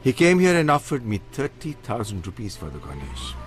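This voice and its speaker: An actor playing a wronged, courteous, wealthy householder in a radio drama, speaking formally and with measure. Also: male voice, medium pitch, wildly swooping pitch, brisk. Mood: grave, formal. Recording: radio play with music underneath.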